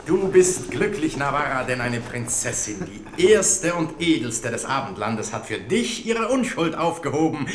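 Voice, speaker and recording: A man's voice: medium pitch, very animated, a little slow, hardly any pauses. An actor playing a swaggering, mocking king leading a wedding revel in a radio drama, with grand, taunting delivery. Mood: taunting, gleeful. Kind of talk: German radio drama acting. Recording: echoey hall with background noise.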